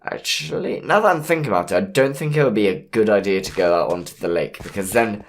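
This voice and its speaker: A man with a hoarse voice from a cold, easygoing and punny as he plans his moves aloud while commentating a game. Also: on the low side, lively intonation, fast. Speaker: gameplay commentator. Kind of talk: let's play commentary.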